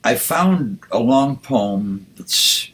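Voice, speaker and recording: A man, a poet, speaking and reciting with deliberate, measured phrasing. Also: mid-range voice, animated, a bit bright. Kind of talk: spoken introduction and poetry recitation.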